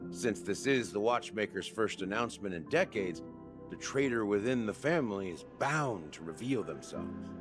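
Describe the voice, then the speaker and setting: A man plays a grave, secretive schemer in a game, speaking in a lowish voice whose pitch rises and falls a great deal. He explains slowly and solemnly, and game music swells loudly behind him. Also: lively intonation, natural voice. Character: grave schemer revealing a dreamland's dark secret. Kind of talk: video game cutscene dialogue.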